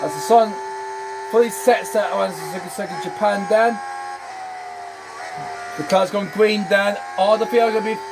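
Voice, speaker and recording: A man's voice: high voice, background noise. A man hosting a gaming channel, commenting on a racing management game while losing his voice, with a repetitive habit of saying "then" and "I'm afraid".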